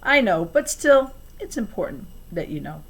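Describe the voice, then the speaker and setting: A woman's voice: medium pitch, big pitch swings, moderate pace, husky. Children's book heroine: woman reading as a plucky schoolgirl, polite, eager, earnest.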